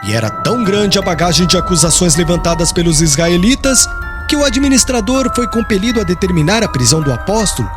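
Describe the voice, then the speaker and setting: A man's voice: high-pitched, lively intonation, fast talker. A man narrating an audiobook in a solemn, formal storytelling manner. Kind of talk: scripted literary narration. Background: music.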